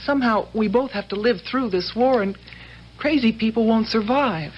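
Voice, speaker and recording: An actress playing an earnest, insistent young sweetheart pleading in a wartime radio drama. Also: female voice, a little high, moderate pace, natural voice. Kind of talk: old-time radio drama acting. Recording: dull, faintly noisy radio recording.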